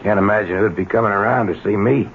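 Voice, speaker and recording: Elderly, humble jailbird grandfather for radio drama, male actor: low, hesitant, folksy, thin noisy broadcast sound. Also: fast talker, phone-quality sound, close to an everyday voice. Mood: humble, subdued. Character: old ex-convict grandfather, slow and humble. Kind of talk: 1970s radio drama acting.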